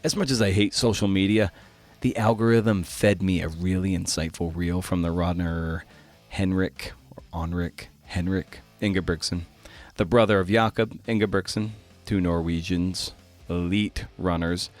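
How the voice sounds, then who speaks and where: A man's voice: sits low, steady tone, moderate pace, soft background music. A man in his forties hosting a podcast solo, thinking aloud in a casual, conversational, self-questioning way. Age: 40s. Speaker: podcast host. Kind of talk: reflective solo podcast monologue.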